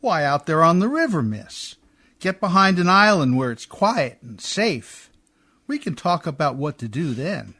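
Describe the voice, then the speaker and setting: A man playing a folksy, rough-spoken boat mechanic in a radio drama, deferential but skeptical, with ungrammatical homespun speech. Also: a little high, big pitch swings, a little slow, pushed and bright, full character voice. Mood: brisk, reassuring. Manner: folksy ungrammatical speech, often adding "miss". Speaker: actor in a community radio theatre. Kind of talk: radio drama acting in an old Hollywood radio style.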